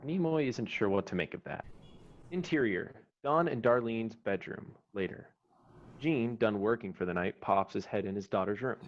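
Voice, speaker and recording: A man's voice: fairly low pitch, moderate pace. A man reading scripted stage directions as narrator for an online screenplay table read. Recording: dull lo-fi online call audio.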